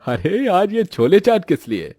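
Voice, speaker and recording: A man acts out a modest, patient cartoon husband. His voice is husky and swings widely in pitch, recorded dry over music. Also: huge pitch swings, a little slow, warm tone, dry recording, exaggerated voice. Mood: mild, caring. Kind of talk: cartoon voice acting.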